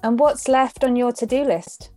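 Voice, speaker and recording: A woman hosts a podcast and puts short, polite questions to her guest. She sounds friendly and thanks the guest warmly. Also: fairly high pitch, fairly even intonation, moderate pace, soft background music. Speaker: podcast host. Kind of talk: podcast interview.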